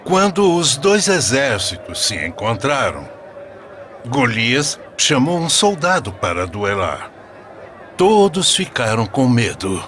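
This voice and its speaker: Kindly Brazilian grandfather, husky, unhurried, very expressive melody, solemn cartoon storytelling. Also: medium pitch, a little hiss or hum. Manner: measured, reverent. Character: solemn, kindly grandfather telling religious stories. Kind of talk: scripted storytelling narration.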